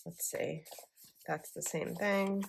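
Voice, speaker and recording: Chatty low-voiced woman, froggy morning voice. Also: steady tone, slow pace, vocal fry, slight background noise. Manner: chatty, rambling with likes and ums. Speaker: planner vlogger. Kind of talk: casual chat while decorating a planner.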